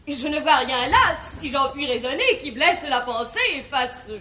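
A modest, sensible young sister from classical stage comedy, speaks in a very high voice. Her verse is poised and measured, with sweet irony, in the 1950s manner. The theatre stage around her is strongly echoey. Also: expressive, sharp tone, a little hiss or hum. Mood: demure, questioning.

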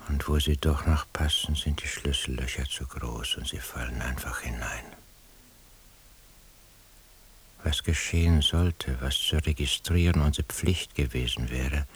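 Very deep, husky surveillance man. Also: heavily acted. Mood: grave, troubled. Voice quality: very husky, breathy. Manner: slow, half-whispered, ruminating. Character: obsessive, troubled male surveillance listener, doubting himself. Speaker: radio drama actor. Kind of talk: 1990s radio drama inner monologue.

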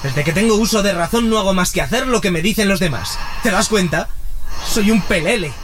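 A man's voice: high voice, a little fast, fairly bright tone. A man playing an insecure, put-upon young musician in a radio drama, plaintive and melodramatic. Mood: anguished, bitter. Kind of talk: serial radio drama acting. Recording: music clearly under the voice.

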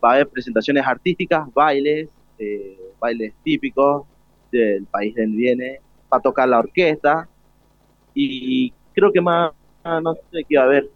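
A man's voice: medium pitch, a little slow, dry recording. Hesitant young Argentine man, dull radio sound. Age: teenage student. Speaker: student being interviewed. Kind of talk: informal explanation in interview. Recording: dull lo-fi radio broadcast link.

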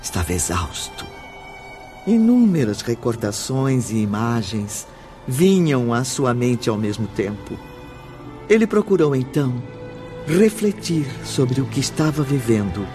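Slow, dramatic male narrator. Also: mid-range voice, very animated, mellow, soft background music. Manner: emphatic, theatrical pauses.

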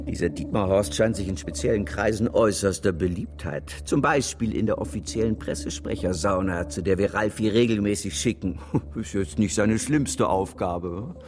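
An actor playing a jealous, sardonic, petty and grumbling PR operative in a German radio drama, sarcastic and given to trailing off. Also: male voice, on the low side, moderate pace, lightly acted. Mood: exasperated. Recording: music behind the voice. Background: music.

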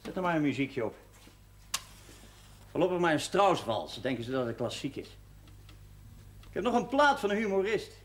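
Animated, high-pitched party-host husband. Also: male voice, moderate pace, long pauses, slight background noise. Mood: busy, wry. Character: genial, bossy husband hosting an evening party. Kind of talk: naturalistic 1970s television drama acting.

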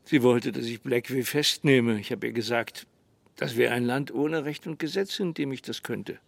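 Creaky, taciturn small-town sheriff. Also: male voice, mid-range voice, no echo. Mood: wry, weary. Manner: measured, laconic. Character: taciturn, world-weary small-town sheriff, middle-aged man. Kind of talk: naturalistic radio drama acting.

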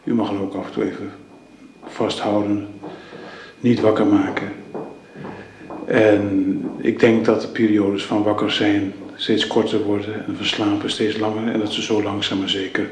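An actor in a radio drama playing a considerate doctor, halting, tactful and measured. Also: male voice, fairly low pitch, little pitch movement, lightly acted. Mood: grave, gentle. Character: considerate, careful hospital doctor breaking bad news. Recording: roomy echo, faint background noise.

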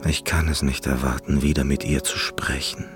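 A man's voice: very deep voice, flat delivery, normal speed, loud music behind the voice. An actor playing an eccentric, obsessive, reclusive male pianist in a radio drama, rambling and theatrical in an intimate confessional way. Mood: intimate, yearning. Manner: rambling, theatrical, self-absorbed.

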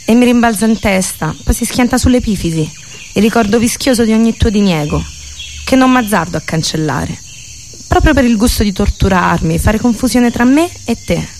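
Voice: medium pitch, lively intonation, normal speed, a little mellow, slight background noise. A performer reciting a poetic monologue in a theatrical, literary manner. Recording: music behind the voice. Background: music.

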